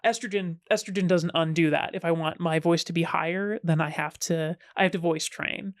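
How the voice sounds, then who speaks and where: A woman's voice: steady tone, brisk, no echo. Chatty woman with a dry close sound. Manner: chatty and self-deprecating, with sudden playful jumps in pitch. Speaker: guest. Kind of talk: casual podcast conversation.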